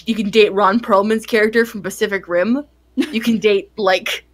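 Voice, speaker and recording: A woman's voice: medium pitch, fairly even intonation, normal speed. A woman joking and riffing in casual chat with friends. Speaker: show participant. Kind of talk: casual group banter.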